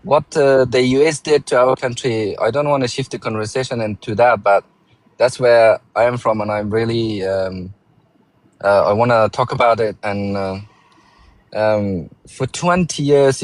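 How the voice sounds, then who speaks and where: A man in his thirties, originally from Afghanistan, calling into a live stream and speaking haltingly with many pauses. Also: medium pitch, rough recording. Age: 30s. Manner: hesitant, halting with many fillers. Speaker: caller. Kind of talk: casual live-stream call.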